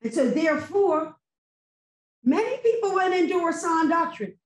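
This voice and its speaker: A middle-aged woman speaks in a high voice, slowly and deliberately, with preacherly fervor. She presses her points with emphatic rhetorical questions. Her webcam audio on the video call has a roomy echo. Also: very animated. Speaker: church member giving sermon response. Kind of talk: impassioned post-sermon testimony. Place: home room with virtual background. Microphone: laptop webcam on video call.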